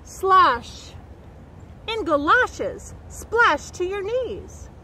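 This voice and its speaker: A middle-aged woman reading a picture book aloud to young children in an expressive, carefully enunciated way, recorded outdoors. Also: extremely high pitch, drawn-out words. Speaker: storybook reader and nature educator. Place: outdoors by a woodland creek. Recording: outdoor background noise.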